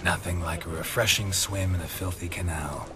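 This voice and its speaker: An actor playing a weary, sardonic middle-aged monster hunter in a video game, with a low gravelly voice and deadpan delivery. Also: male voice, moderate pace, a crowd in the background, lightly acted. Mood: dryly sardonic. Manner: deadpan, dry.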